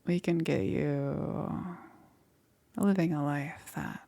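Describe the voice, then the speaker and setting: Casting: woman to play a caring witch for ASMR roleplay, husky whisper with crackly fry, halting and reassuring. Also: low voice, exaggerated voice. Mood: soothing, thoughtful. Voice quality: soft, breathy, often whispered. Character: gentle, apologetic witch necromancer caring for her undead patient. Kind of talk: ASMR roleplay, intimate and slow.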